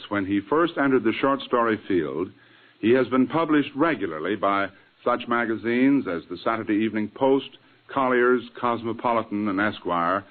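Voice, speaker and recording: Tinny 1940s radio man introducing. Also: lowish voice. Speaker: radio drama director introducing the play. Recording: tinny vintage radio recording.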